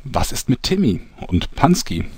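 A man's voice: medium pitch, very animated, a little slow, a little mellow, husky, no room sound, studio quality. A man playing a jovial, melodramatic narrator of a parody audio serial. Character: melodramatic, jovial male storyteller.

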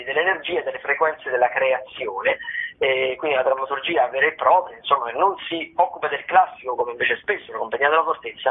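A man comes through a thin, telephone-like radio line. His voice sits a little high for a man. He runs through listings as a radio host, informally, often hesitating and rephrasing as he goes. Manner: hesitant, discursive, improvised phrasing. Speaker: radio theatre show host. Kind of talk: informal radio listings rundown of theatre events. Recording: thin, band-limited telephone-like radio sound.

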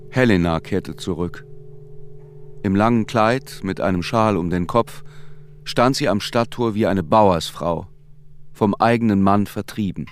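Actor as sombre male narrator, low pitch, measured, literary radio play, music behind. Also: lightly acted. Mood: grave, measured. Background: music under the voice.

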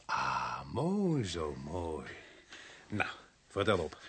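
A man's voice: low voice, huge pitch swings, very slow, fairly bright tone, husky, full character voice. An actor playing a dry, matter-of-fact male police inspector who questions and narrates in a radio play. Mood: probing. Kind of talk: Dutch radio play, dialogue and first-person narration.

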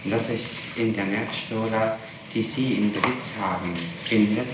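A man's voice: lowish voice, fairly even intonation, unhurried. Man, police questioner, insistent and methodical, distant on a heavily noisy archival tape recording. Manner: insistent, probing, repeats and restates answers. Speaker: police interrogator. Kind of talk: formal questioning. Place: reverberant room, taped police interrogation. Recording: very noisy, echoey old tape, distant mic.

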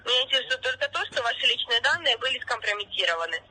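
A woman on a thin phone line speaks in a sharp, very bright tone. She runs through a formal call-center script as a fake bank officer, pushy and insistent. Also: fairly high pitch, expressive, quick pace, husky.

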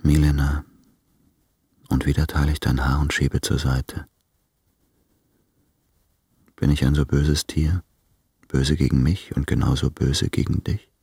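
An actor playing a weary, lovesick man addressing his beloved in a literary radio play, hushed and brooding, with a husky, breathy voice. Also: extremely low pitch, flat delivery, unhurried, pauses often, no echo, clean studio sound, full character voice. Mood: tormented, pleading.

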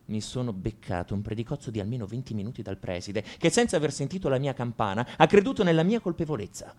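Un attore che interpreta un liceale tormentato e amareggiato, in un monologo confessionale di radiodramma, con enfasi riflessiva. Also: male voice, mid-range voice, expressive, quick pace, a little mellow, close to an everyday voice. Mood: tense recounting. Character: brooding male high school student confessing to violence. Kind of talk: radio drama monologue, first-person narration.

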